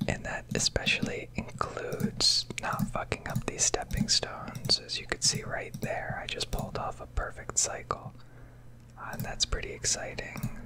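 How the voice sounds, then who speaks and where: Whispering woman, gaming ASMR. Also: rough recording. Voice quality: whispered, breathy. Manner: casual, rambling, hesitant pauses. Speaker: video creator, game streamer.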